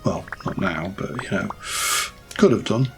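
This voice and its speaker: Low gravelly guy, voice going hoarse, chatting thru his game build, faint music behind. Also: expressive, measured pace. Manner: rambling, thinking aloud while building. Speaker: let's play host. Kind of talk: casual gameplay commentary.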